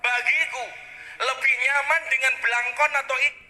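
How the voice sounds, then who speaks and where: A man's voice: very high-pitched, steady tone, normal speed, pushed and bright. A man with an Indonesian accent declaiming satirical verse in a theatrical, accusatory manner. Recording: played-back clip, reverberant, faint music.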